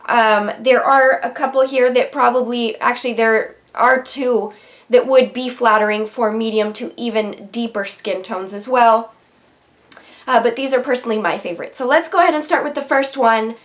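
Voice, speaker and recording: A woman's voice: fairly high pitch, moderate pace. A young woman with a nasal voice from a cold, chatting casually to the camera about makeup in her bedroom, with frequent ums. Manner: chatty and enthusiastic, many ums. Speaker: beauty vlogger. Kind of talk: casual product review to camera. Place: bedroom with vanity and shelves, indoors.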